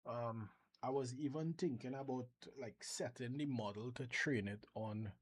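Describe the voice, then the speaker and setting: A Trinidadian man with a Trinidadian accent, talking casually through a software demo and thinking aloud, often restarting his sentences. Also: medium pitch, a little slow, husky. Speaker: software developer vlogger. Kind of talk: casual screen-share demo.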